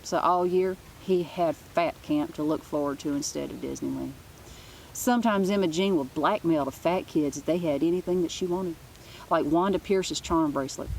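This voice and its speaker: A woman with a slightly low voice narrates a children's book aloud. Her storytelling is informal, and there is faint noise in the background. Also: normal speed, gravelly, darkish tone, poor audio. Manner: informal, with asides.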